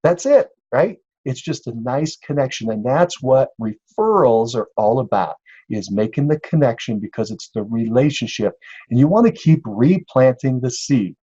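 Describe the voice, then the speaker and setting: A man's voice: mid-range voice, expressive, moderate pace, dark tone, breathy edge, dry recording, lo-fi sound. A man giving a casual, encouraging instructional talk as a sales coach, speaking conversationally and checking in with listeners. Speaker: real estate sales coach and podcast host.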